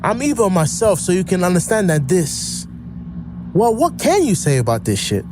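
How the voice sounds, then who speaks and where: A man's voice: high-pitched, big pitch swings, normal speed. A man playing a frank, casual field operative in an audio drama. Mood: frank, concerned. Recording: clear background noise and ambience.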